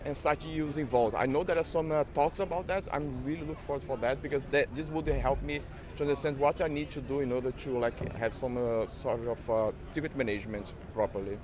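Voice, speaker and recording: A man's voice: medium pitch, fairly quick. A man at a conference talking casually about the tools he uses, with many fillers and restarts. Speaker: conference attendee. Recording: busy crowd noise behind. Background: crowd chatter.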